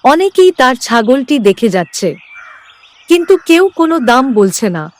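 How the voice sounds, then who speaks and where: A woman's voice: high voice, big pitch swings, measured pace. A woman narrator reading a scripted cartoon horror tale as a storyteller.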